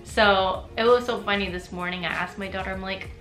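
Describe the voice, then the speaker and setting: A woman's voice: medium pitch, steady tone, fairly quick, a bit bright. A young adult woman chatting casually in a personal vlog, with frequent "like" fillers and easy laughter. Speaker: vlogger. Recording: roomy echo with faint music.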